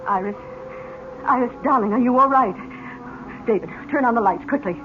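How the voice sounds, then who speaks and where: An actress playing an earnest, protective aunt with a coaxing, theatrical manner, in 1970s radio drama style. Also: female voice, fairly high pitch, moderate pace, natural voice. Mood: urgent, insistent. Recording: tinny, faintly noisy old radio recording.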